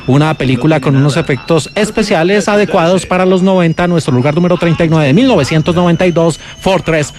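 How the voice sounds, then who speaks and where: Colombian guy doing radio movie reviews, slightly high voice, explains stuff with emphasis. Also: quick pace, few pauses. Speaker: radio film critic and host. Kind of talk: film review countdown on radio.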